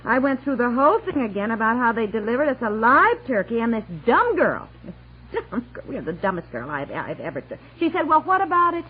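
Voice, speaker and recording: A woman's voice: fairly high pitch, big pitch swings, brisk, slightly rough. An actress playing a flustered, exasperated wife and mother in an old radio comedy, fretting in run-on complaints. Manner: fretful, breathless run-on complaining. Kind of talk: 1950s-60s radio comedy acting. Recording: tinny, hissy old radio recording.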